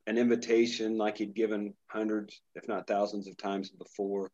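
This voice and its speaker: A man's voice: on the low side, little pitch movement, normal speed, a little mellow, muffled recording. A middle-aged pastor from Indiana, chatting casually in an interview and telling his story with many restarts. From Indiana, USA. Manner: rambling storyteller, frequent restarts.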